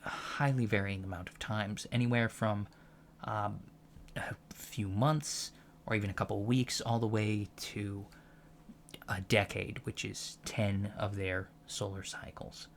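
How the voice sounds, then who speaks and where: A man playing an earnest alien college professor in a soft-spoken ASMR lecture roleplay, halting and deliberate, carefully correcting himself as he explains. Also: lowish voice. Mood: earnest, explanatory. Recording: clear steady background noise.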